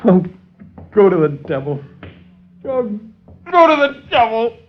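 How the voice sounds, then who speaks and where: Radio drama role for a male actor: agitated young officer, high strained pitch, defiant and pleading, muffled vintage recording. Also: sing-song, slow pace, exaggerated voice. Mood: bitter, anguished. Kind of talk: 1950s radio drama acting.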